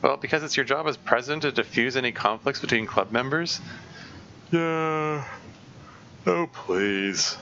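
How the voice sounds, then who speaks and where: A man's voice: medium pitch, a little slow, sharp tone, lo-fi sound, a little hiss or hum, pitched above the performer's own voice. A man reading an aloof, dismissive teen club president. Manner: flippant, dismissive. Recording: game music behind.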